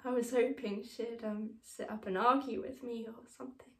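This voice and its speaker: A grieving mother , acted by a woman speaks tenderly in a stage-style monologue. Her fairly high voice is halting and hushed, in a room with clear echo. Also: steady tone, recorded from a distance, natural voice. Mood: numb sorrow. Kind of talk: dramatic monologue acting. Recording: roomy, echoing room sound.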